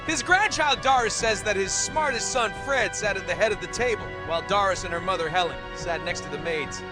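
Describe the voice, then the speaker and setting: Man, comic voice-over narrator, playful and joking, addresses the viewer directly, high voice, soft music underneath. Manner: playful, jokey, talks straight to the viewer. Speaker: explainer video narrator.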